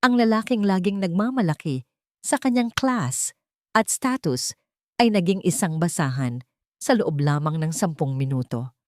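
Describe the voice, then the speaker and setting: A woman's voice: fairly low pitch, expressive, a little slow, darkish tone, dry recording, clean studio sound. A woman narrating a dramatic first-person audiobook story, reading from a script.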